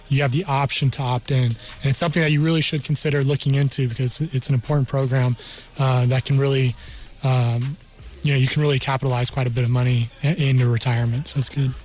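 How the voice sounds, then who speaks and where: A man's voice: medium pitch, a little mellow. A man from New Mexico speaking as an army career counselor, explaining things earnestly in an informal radio interview. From New Mexico, United States. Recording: music behind the voice. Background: music.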